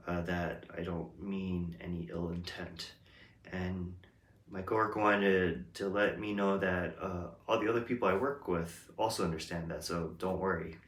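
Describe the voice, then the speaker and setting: A man's voice: low voice, fairly bright tone. A man whose first language is English, hosting a reflective casual monologue, talking hesitantly with many ums and restarts. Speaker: host. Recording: roomy echo.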